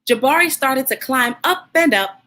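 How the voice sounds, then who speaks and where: Bright, high-voiced woman hosting storytime. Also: moderate pace. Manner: animated, encouraging, addressing children directly. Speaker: read-aloud host. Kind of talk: live children's storybook read-aloud.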